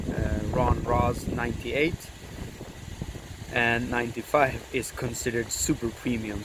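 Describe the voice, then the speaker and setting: Chatty Swedish man outdoors in wind. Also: mid-range voice. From Sweden. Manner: chatty, upbeat, self-deprecating asides. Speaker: car vlogger. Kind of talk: casual vlog walkthrough. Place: outdoors at a fuel station and by a car. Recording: outdoor wind and ambient noise.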